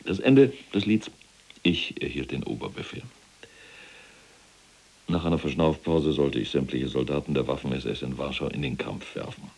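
An actor playing a proud, callous, imprisoned SS general recounting the war in a gravelly, husky, self-important military manner in a radio drama. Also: male voice, rumbling low, moderate pace, a little mellow, heavily acted. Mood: agitated, indignant. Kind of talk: dramatized first-person war recollection.

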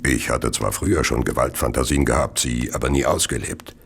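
An actor playing a Victorian merchant who narrates his own murders in a radio play, measured, cold and confessional. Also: a bit bright, full character voice. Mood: dark, gloating. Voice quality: deep, gravelly. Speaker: narrator of an audio drama.

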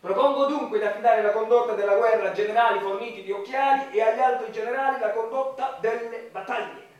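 An actor playing an obsequious, earnestly absurd official in a satirical radio play, with a formal, deferential manner. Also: male voice, very high voice, quick pace, muffled recording. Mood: eager, earnest. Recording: very echoey room, distant mic, noisy.

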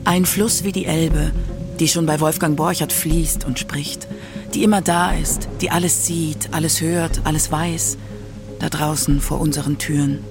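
A narrator reading a scripted radio documentary in a measured literary manner. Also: medium pitch, fairly even intonation, gravelly, music in the background.

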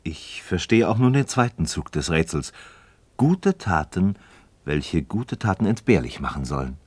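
An actor playing an inquisitive, skeptical but courteous friend in a radio drama, speaking in a deliberate, questioning way. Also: male voice, low-pitched, very animated, a little mellow, breathy edge, no room sound, exaggerated voice. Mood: curious. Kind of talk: scripted philosophical dialogue in German radio drama.

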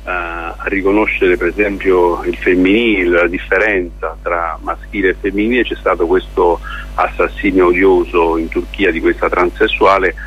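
A man answering as a theatre director in a radio interview, explaining in a halting, deliberate way with pauses and repeated words. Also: low voice, flat delivery, breathy edge. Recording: muffled, lo-fi radio interview with noise.

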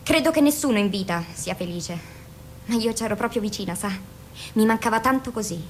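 Troubled young female patient for radio drama actress, raised pitch, blunt street talk, prickly and defiant, slight background noise. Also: expressive, fairly quick, lightly acted. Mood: guarded, bitter. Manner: colloquial, blunt, defensive, sarcastic. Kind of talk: Italian radio drama acting.

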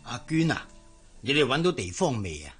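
Old male servant, husky, very expressive pitch, Hong Kong Cantonese, avuncular, moralising, radio drama. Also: normal speed, soft background music. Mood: sympathetic. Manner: avuncular, sighing, proverb-quoting.

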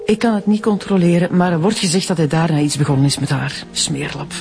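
A woman's voice: lowish voice, steady tone, fairly quick, vocal fry, darkish tone, faint music behind. An actress with a Flemish accent playing a suspicious, bitter woman giving gossipy testimony in a radio drama. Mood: bitter, confiding. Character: suspicious, bitter female witness sharing gossip.

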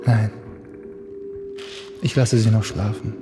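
An actor playing a brooding man who muses in a solemn, hushed, lingering radio play monologue. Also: fairly low pitch, little pitch movement, slow. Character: brooding man musing over sleeping humanity. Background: music.

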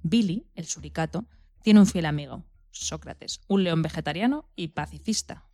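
A woman's voice: deep voice, moderate pace, warm tone, dry recording. Dry, close-sounding woman storyteller from radio. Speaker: radio programme co-host. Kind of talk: scripted storytelling narration.

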